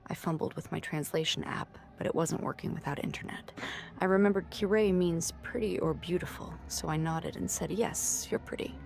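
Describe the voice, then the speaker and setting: A woman playing a frightened young American student, giving a hushed, breathy confession in a horror film monologue. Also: lowish voice, moderate pace, faint music behind. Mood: shaken, dread. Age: young adult. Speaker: narrator of found-footage horror film. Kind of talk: first-person horror monologue, confessional recording. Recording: very noisy found-footage style recording, ambient hiss.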